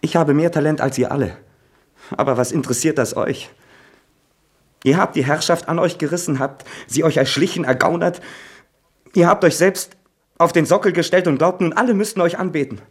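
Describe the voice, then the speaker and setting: A man playing an anguished young writer in a stage drama, intense, moving between hushed pleading and outbursts. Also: deep voice, normal speed, creaky, long pauses, husky, full character voice. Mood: bitter outrage.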